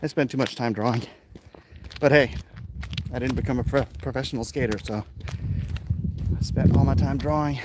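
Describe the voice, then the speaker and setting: A man's voice: mid-range voice, steady tone, moderate pace, pauses often. A middle-aged American man rambling casually to viewers, a little out of breath as he walks outdoors, offhand and self-deprecating. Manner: offhand, self-deprecating, talking while exerting himself. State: winded from walking uphill. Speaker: vlogger, artist. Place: outdoors, walking hilly residential streets. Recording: noisy outdoor walking recording with clicks.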